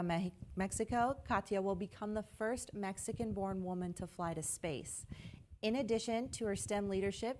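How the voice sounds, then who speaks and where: A woman co-hosts a launch broadcast, introducing crew with an upbeat, scripted presenter manner. Her voice sits at a middle pitch. Faint clicks can be heard in the background. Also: slight background noise. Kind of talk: scripted live broadcast commentary.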